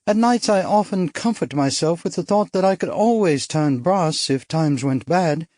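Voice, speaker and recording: A man's voice: a little high, expressive, normal speed, darkish tone, no echo, studio quality, pitched above the performer's own voice, close to an everyday voice. A man voicing a witty, daring young upper-class Englishwoman who is an actress, with an arch, playful, theatrical manner.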